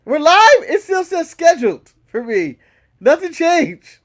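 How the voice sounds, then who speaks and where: An American man with a notably high voice co-hosts a casual live podcast. His pitch swings widely as he banters. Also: big pitch swings, a little slow. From United States. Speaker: podcast co-host.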